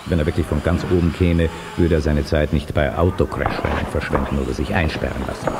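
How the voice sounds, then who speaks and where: An actor playing a gruff, skeptical criminal henchman in a radio play, blunt and dismissive. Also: a bass voice, steady tone, brisk, heavy background noise. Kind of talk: German radio play acting. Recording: loud music behind, cassette radio play.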